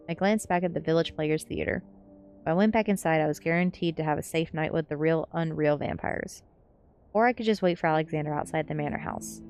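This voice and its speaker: A woman's voice: sits low, darkish tone. A woman reading a novel aloud as narration on an ASMR stream, with the occasional slip.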